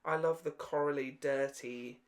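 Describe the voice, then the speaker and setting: Chatty co-host for a fan podcast: medium pitch, animated, informal, freely sweary. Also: unhurried. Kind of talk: casual fan chat about television.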